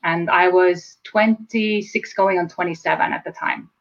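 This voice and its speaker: A woman in her forties with an Estonian accent, speaking as an interview guest in a measured, reflective way, slowing to stress key words. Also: lowish voice, steady tone. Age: 40s. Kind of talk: casual interview chat. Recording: slightly dull lo-fi sound.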